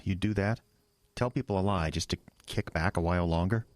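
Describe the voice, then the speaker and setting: Casting: male actor as earnest small-town young pastor, deep voice, wounded and suspicious, horror audio drama. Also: natural voice. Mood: wary resistance. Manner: halting, exasperated, distrustful.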